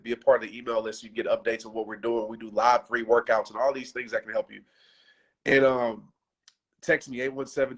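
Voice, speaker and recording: A man in his thirties speaks off the cuff to a livestream audience, pushing them hard in casual slang. His pitch sits in an ordinary middle range, and his voice sounds worn from talking so long. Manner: passionate, preachy, casual slang. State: losing his voice. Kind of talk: unscripted livestream pep talk.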